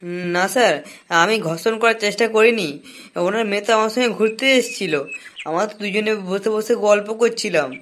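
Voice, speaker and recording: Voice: medium pitch, a little fast, fairly bright tone, slight background noise, natural voice. An actor plays a jobless young cartoon boyfriend with a pleading, whiny manner. He is crude and comic, and tends to say things over again. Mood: panicked.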